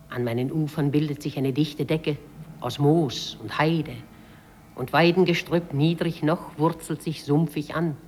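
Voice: medium pitch, close to an everyday voice. An actor voicing a proud, wild river spirit born of glacier ice, in a poetic monologue from a 1950s German radio play. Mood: lyrical. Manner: lyrical, proud, declaimed. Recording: old radio drama with faint noise.

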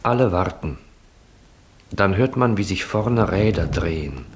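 An actor playing the sober, observant narrator of a German radio play, describing scenes in a measured way. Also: male voice, sits low, lively intonation. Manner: measured, descriptive, present-tense. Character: sober, observant male narrator of a funeral procession. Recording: noisy old radio drama recording.

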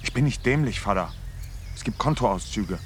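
An actor playing a sober, probing grown son who speaks and narrates matter-of-factly in a radio play. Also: male voice, lowish voice, lively intonation, moderate pace, background noise, lightly acted. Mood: accusing, pressing. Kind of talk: radio play dialogue and first-person narration.

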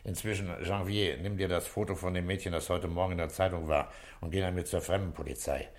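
A deep, gruff police commissioner, played by a man in a radio play. He is calm and authoritative, putting short probing questions and handing out orders tersely. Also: quick pace, close to an everyday voice. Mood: brisk, commanding.

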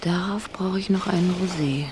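Wry low-voiced woman played by an actress. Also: normal speed, noisy background, lightly acted. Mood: wry, curious. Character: wry woman, sceptical companion of a sleuth. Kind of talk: German radio crime drama acting.

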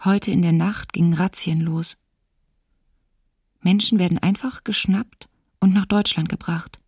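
An actress playing a diarist under occupation, reading entries in a hushed, subdued way for a documentary radio drama, slightly off the mic. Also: female voice, fairly low pitch, fairly even intonation, unhurried, breathy edge, dry recording, studio quality, far from the mic. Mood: sober, bleak. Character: woman diarist soberly witnessing occupation terror.

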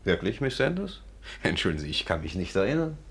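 An actor playing an urbane aristocratic villain, suave, polite and ironic, in a 1950s German radio play. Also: male voice, deep voice, very animated, fast, fairly bright tone, breathy edge, exaggerated voice. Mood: genial, suave. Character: urbane aristocratic villain, a coolly menacing gentleman thief.

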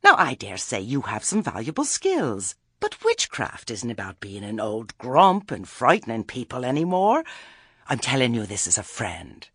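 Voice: medium pitch, huge pitch swings, moderate pace, pushed and bright, breathy edge, no room sound, studio quality, heavily acted. A narrator voicing a fussy, genteel lady witch with a trilling, patronising and over-polite manner.

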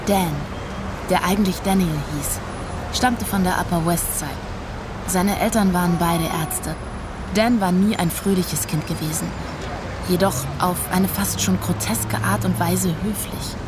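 A woman's voice: lowish voice, fairly even intonation, measured pace, heavy background noise, close to an everyday voice. An actress playing a detached, unsentimental woman who recounts her past in a dry, matter-of-fact way, as literary radio drama narration.

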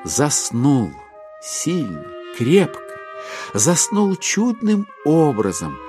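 A man's voice: a little high, wildly swooping pitch, drawn-out words, over music, full character voice. A man acting as the wry narrator of a classic novel in a dramatised audiobook. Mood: wry, storytelling.

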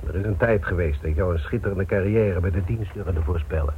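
An actor playing an exasperated but grudgingly indulgent police commissioner in a Dutch radio play, blunt and authoritative, with a husky voice. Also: male voice, low-pitched, a little fast, a little hiss or hum, lightly acted. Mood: scolding. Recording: tinny, band-limited radio drama recording.

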